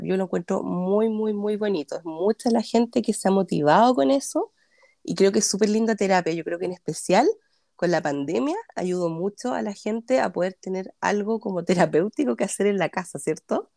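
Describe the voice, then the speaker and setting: Chilean woman guest, gravelly fry in the voice, dry close sound, eager and giggly. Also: medium pitch, warm tone, dry recording. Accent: Chilean Spanish. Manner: bubbly, enthusiastic, laughs often. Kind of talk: casual chat.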